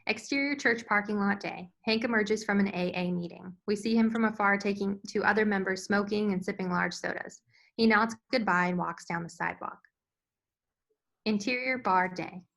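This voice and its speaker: A woman's voice: mid-range voice, normal speed, gravelly, fairly bright tone, poor audio. A woman narrator reading scene headings and stage directions from a screenplay in a scripted style.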